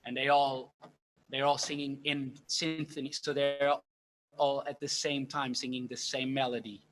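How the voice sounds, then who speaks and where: Man, from Portugal, bright voice, halting eager explanations, video call, faint background hiss. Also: mid-range voice, flat delivery, poor audio. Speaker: guest percussionist. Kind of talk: casual livestream interview with demonstrations.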